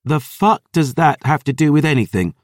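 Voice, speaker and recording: A man voicing a furious, foul-mouthed young girl who curses in sharp outbursts. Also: a little high, expressive, moderate pace, no echo, studio quality, voice pushed up, lightly acted. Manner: cursing, shouted outbursts.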